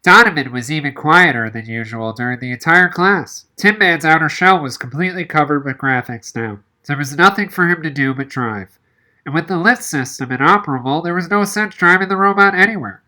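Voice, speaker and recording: A woman's voice: deep voice, animated, fairly quick, sharp tone. A woman reading a children's novel aloud as narration. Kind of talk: audiobook-style read-aloud narration.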